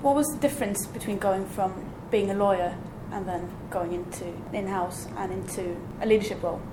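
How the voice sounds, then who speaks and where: A woman's voice: mid-range voice, fairly quick. A woman hosting a podcast who introduces a guest and asks short conversational questions. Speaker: podcast host. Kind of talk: interviewing. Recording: echoey room with background noise.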